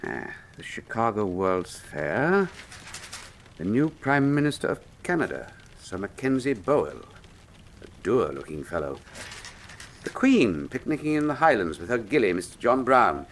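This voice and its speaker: Pompous, lively detective. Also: male voice, lowish voice, a little slow, slight background noise. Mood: amused, dry. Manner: pompous, wry, precise, formal diction. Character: brilliant, self-assured, theatrical male Victorian detective. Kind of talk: full-cast radio mystery drama acting.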